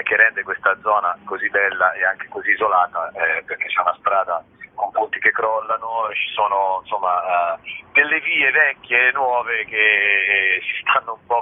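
A man speaking as an interview guest, explaining at length in a discursive way and pausing to search for words. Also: lowish voice. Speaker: theatre director, interview guest. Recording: narrow tinny phone line, clear background noise.

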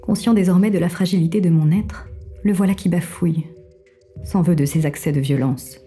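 A woman narrating an audio drama in a calm, measured way, also speaking as an artificial companion. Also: fairly low pitch, soft background music.

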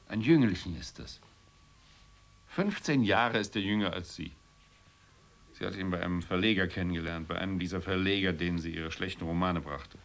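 A man's voice: sits low, gravelly, fairly bright tone, exaggerated voice. An actor playing a weary, middle-aged middle-class man who grumbles and muses wryly in a naturalistic radio drama. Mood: scornful.

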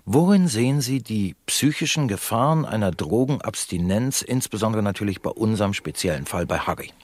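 A man's voice: lowish voice, animated, fairly quick, dry recording. An actor playing an unctuous, formal male radio show host in a satirical radio drama. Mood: effusive. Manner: formal, effusive, showy. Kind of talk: radio drama acting, broadcast presenting.

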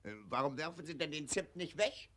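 An actor playing a pompous, patronizing older colonel in a 1940s radio play, blustering and lecturing. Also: male voice, on the high side, a little fast, fairly bright tone.

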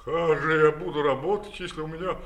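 A man's voice: fairly high pitch, fairly even intonation, fairly quick, fairly bright tone, highs cut off. Man doing a lazy, insolent, grunting camel. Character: lazy, insolent male camel, sneering idler.

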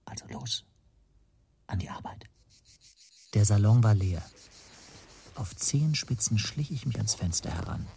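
An anxious man telling his own story in a radio play. His voice is very husky and mellow, somewhat low, often sinking toward a whisper as he broods and doubts himself. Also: expressive, a little slow, long pauses, exaggerated voice. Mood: urgent self-urging. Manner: brooding, introspective, hushed. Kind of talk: first-person radio play narration.

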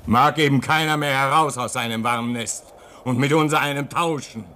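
A man's voice: medium pitch, moderate pace, sharp tone, lightly acted. An actor in a German radio play, playing an embittered, homesick man stranded in the Arctic, brooding and anguished. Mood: bitter, agitated. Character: embittered, homesick husband stranded at a polar station.

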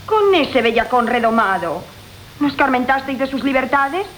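Nosy castilian matchmaker friend, super high voice, scolding, old radio comedy. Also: female voice, animated, moderate pace, fairly bright tone, a little hiss or hum, poor audio. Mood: scolding, urging. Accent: Castilian Spanish. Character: indignant, meddling matchmaking friend in a farce. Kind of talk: classical Spanish radio comedy acting.

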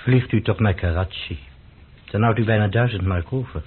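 A grave, courteous foreign airline clerk in a radio play. He has a low, husky voice and a deliberate manner. The recording sounds dull and old. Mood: courteous, formal. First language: not Dutch or German. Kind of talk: radio drama acting.

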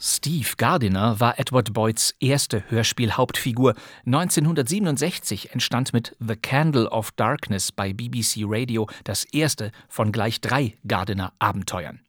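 A man's voice: mid-range voice, unhurried, dry recording, studio quality. A man presenting as a podcast host, introducing a radio play from a script with articulate, showman-like phrasing.

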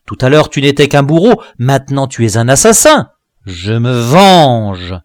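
A man reading an audiobook voices a vengeful male dwarf jester in a high, loud, strained voice. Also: big pitch swings, slow pace, no echo, studio quality. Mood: snarling vengeful. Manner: shrill snarling threats, later high wailing pleas. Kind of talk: audiobook character voice.

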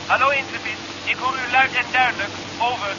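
A man's voice: very high voice, fairly even intonation, fairly quick. An actor playing a matter-of-fact male astronaut making clipped calls over radio in a 1970s Dutch drama. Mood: businesslike. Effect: radio transmission. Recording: noisy, muffled radio transmission in old drama.

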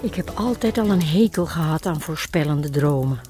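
A woman's voice: low-pitched, expressive, normal speed, mellow, soft background music. A woman voicing an uneasy, superstitious lady in a radio play, wary and insistent.

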